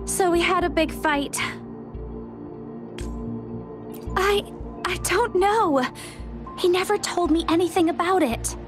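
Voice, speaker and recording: A woman's voice: very high-pitched, moderate pace, over music. A woman voicing an anxious, earnest young explorer in a video game. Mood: troubled.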